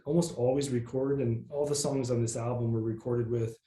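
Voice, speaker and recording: A man with a nasal voice, a musician guest in a casual interview, talking in a relaxed, rambling way with plenty of fillers. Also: mid-range voice, fairly quick, reverberant.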